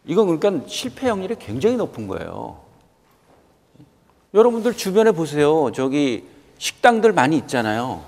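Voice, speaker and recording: A man in his fifties speaks as a guest lecturer in a reverberant hall. His pitch moves in lively sweeps. He is emphatic, repeats his main points and turns questions directly on his listeners. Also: moderate pace, gravelly. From South Korea. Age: 50s. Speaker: guest lecturer, company executive. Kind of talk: motivational lecture to students.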